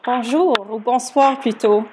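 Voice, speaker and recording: Female interviewer with a lightly raised pitch, polite and formal, a touch hesitant between questions. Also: a little slow, noisy background. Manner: courteous, formal, slightly hesitant.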